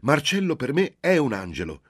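A man's voice: a little high, big pitch swings, normal speed, husky, pitched above the performer's own voice. A man reading a novel aloud, voicing a doting middle-aged mother who gushes with emphasis. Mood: gushing. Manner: gushing, emphatic.

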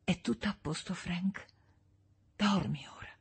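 A woman reading an audiobook voices a gentle, dreamlike wife who soothes in a hushed, breathy voice. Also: lowish voice, measured pace, heavily acted. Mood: soothing.

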